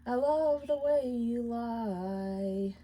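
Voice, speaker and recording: Storybook role: heartbroken imp sung by a woman, high, mournful, very slow drawn-out singing. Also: dull audio.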